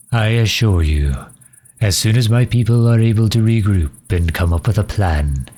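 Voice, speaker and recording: A man playing a courteous, earnest vampire leader in an audio roleplay, speaking softly with formal, old-fashioned politeness. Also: sits low, slightly rough, heavily acted. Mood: earnest, reassuring. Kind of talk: scripted ASMR roleplay, addressing the listener.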